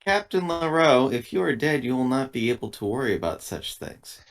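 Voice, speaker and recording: A man playing a formal, literal-minded android crew member who speaks precisely and stiffly, with calm menace. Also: medium pitch, fairly quick, pitched above the performer's own voice. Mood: calm, matter-of-fact.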